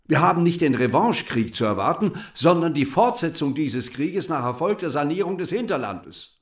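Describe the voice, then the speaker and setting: An actor playing a bitter, patriotic French writer and deputy in a radio play, eloquent, rhetorical and ironic. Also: male voice, a little high, big pitch swings, normal speed. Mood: indignant, urgent.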